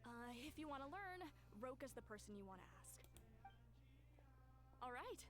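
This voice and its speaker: A woman's voice: high-pitched, big pitch swings, moderate pace, over music. A woman voicing a flustered, eager-to-help young female shopkeeper in a video game, hesitant and obliging.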